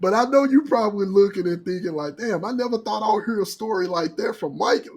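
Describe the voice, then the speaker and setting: A man's voice: high-pitched, brisk. An American man hosting a podcast, telling comic stories among friends in a profane, slangy way and acting out what people said. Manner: animated, profane, slangy, acts out quoted exchanges. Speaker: podcast host.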